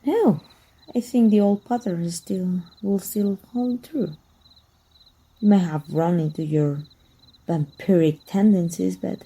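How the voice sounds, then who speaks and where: A woman playing a teasing, wistful and flirty female vampire hunter with a breathy, husky voice in an intimate ASMR roleplay. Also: on the low side, full character voice. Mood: coolly menacing. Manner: slow, teasing, softly taunting.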